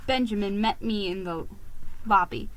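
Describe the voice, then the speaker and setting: A woman giving a theatrical, expressive reading of a storybook. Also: mid-range voice, moderate pace. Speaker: story reader.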